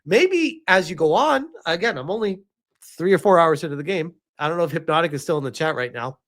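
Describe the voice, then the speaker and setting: A man giving casual livestream commentary on gaming news as a streamer, informal and incredulous, with halting, emphatic phrasing and rhetorical questions. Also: fairly high pitch, animated, fairly bright tone.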